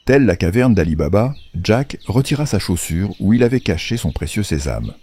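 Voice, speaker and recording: A man narrating a scripted children's fairy tale in a playful, wry, measured storytelling manner. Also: lowish voice, very animated, mellow, breathy edge.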